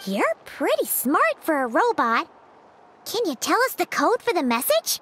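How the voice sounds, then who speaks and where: A woman's voice: very high-pitched, wildly swooping pitch, a little slow, fairly bright tone, a little hiss or hum, exaggerated voice. A woman voicing a tiny flying fairy companion in a video game, childlike, exclamatory and blunt. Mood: blunt, coaxing.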